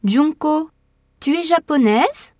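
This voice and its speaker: A woman voicing a cheerful young female musician in a slow language-course dialogue. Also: high voice, lively intonation, darkish tone, dry recording, studio quality. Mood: friendly. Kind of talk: slow scripted textbook dialogue.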